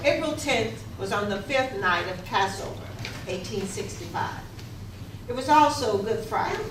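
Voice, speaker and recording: A woman's voice: a little high, expressive, unhurried. A woman narrating history from a script in readers theater. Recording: echoey, noisy room, distant mic.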